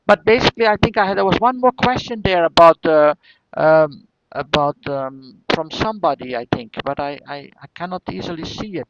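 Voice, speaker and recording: High-voiced Flemish man, hesitant academic speaker. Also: moderate pace, background noise. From northern Belgium. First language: Flemish Dutch. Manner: hesitant, repeats words, rhetorical questions. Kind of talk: answering audience questions at an online seminar. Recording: dull lo-fi audio.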